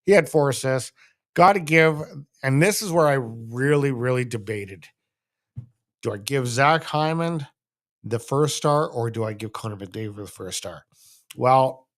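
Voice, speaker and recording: A Canadian man hosting a sports show, giving casual, emphatic solo hockey commentary and repeating phrases for stress. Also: mid-range voice.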